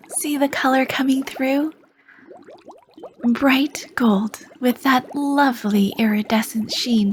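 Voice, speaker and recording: A woman voicing a charming, sly female potion master as a shopkeeper in an ASMR roleplay, speaking softly and flirtatiously and often dropping into whispers. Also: a little high, a little hiss or hum. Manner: soft, flirty, drifts into whispers.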